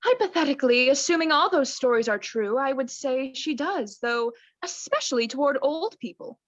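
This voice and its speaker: A woman's voice: sits high, animated, normal speed, no echo. A young woman playing an oblivious, doting mother with an arch, theatrical manner in a radio play. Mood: arch, hedging. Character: doting, oblivious mother, affectedly genteel wife. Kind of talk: student radio play acting. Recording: dull, muffled lo-fi home recording.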